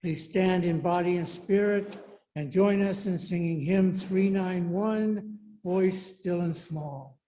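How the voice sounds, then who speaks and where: A man with a high, mellow voice gives announcements at a church service. He is polite and measured, taking his time over each phrase. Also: a little hiss or hum, poor audio. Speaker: service leader.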